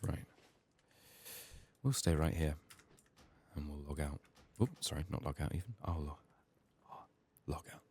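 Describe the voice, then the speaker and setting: A soft-spoken man with a sick, strained voice, muttering downbeat, sweary ASMR commentary as he plays a video game. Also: a bass voice, lively intonation, measured pace, pauses often, a little hiss or hum.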